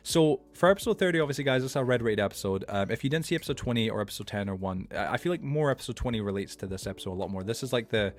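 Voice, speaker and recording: A man's voice: medium pitch, expressive, racing, few pauses. A man in his early twenties hosting a casual podcast, rambling with lots of fillers and restarts. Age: 20s. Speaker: podcast host. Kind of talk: casual late-night podcast chat.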